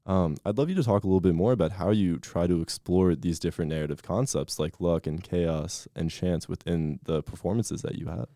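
Young guy hosting a podcast, real low gravelly voice, easygoing, lots of ums. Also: a little fast, few pauses, no room sound, studio quality. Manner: easygoing, with frequent fillers and a relaxed pace. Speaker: podcast host. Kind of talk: casual interview hosting.

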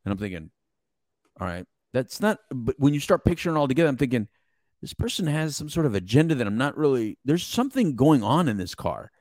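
A man whose first language is Spanish tells a story with animated, slangy energy in his mid-range voice. His voice is recorded close and dry, with no room around it. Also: medium pitch, fast, no room sound. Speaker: podcast co-host. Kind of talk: casual conversation.

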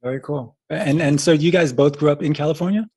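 Husky man hosting a casual chat. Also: fairly high pitch, a little fast, dark tone, no echo. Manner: short reactive interjections. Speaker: host.

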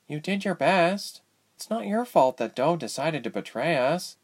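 A man voicing a woman prisoner in a storybook, speaking softly and frankly to console a fellow captive. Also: on the high side, animated, unhurried, pitched above the performer's own voice. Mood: consoling.

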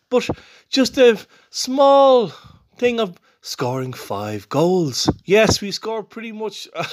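A man from Ireland hosting a casual football podcast, talking enthusiastically and chuckling as he reacts to a match. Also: high voice. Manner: enthusiastic, chatty, laughs while talking. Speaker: podcast host.

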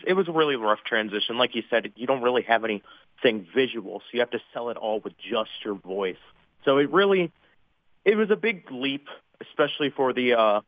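A man's voice: medium pitch, no echo. A phone-like, dry recording of a teenage young man with a mid-range voice. He talks casually as a student guest being interviewed. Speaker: high school theater student, guest. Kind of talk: casual radio interview chat. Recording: dry, narrow phone-like line.

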